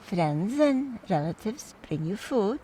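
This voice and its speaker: A woman acting in an audio drama as a wry, skeptical mourner, talking in a measured, conversational way over background noise. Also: mid-range voice, very animated, dark tone. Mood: explaining, wry.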